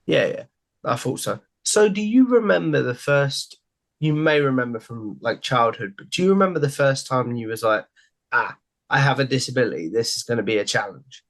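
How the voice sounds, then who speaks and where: A man's voice: medium pitch, lively intonation, normal speed. A young man hosts a podcast from his room, talking into a headset on a video call. He asks friendly, loosely built questions and keeps coming back to the same stock phrases. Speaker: podcast host. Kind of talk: casual interview questions. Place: home room.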